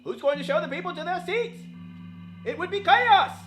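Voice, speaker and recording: A woman's voice: very high voice, expressive, moderate pace, a bit bright, soft background music. Woman doing a pompous, swooping 1940s radio husband. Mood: condescending, soothing. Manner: condescending, self-important. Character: dismissive, pompous 1940s husband, a theater usher. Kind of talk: parody of 1940s radio drama acting.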